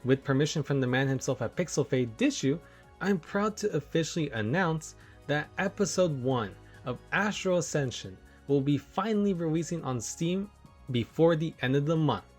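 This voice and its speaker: A man's voice: fairly high pitch, lively intonation, moderate pace. A young man giving enthusiastic review commentary as a video game reviewer. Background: background music.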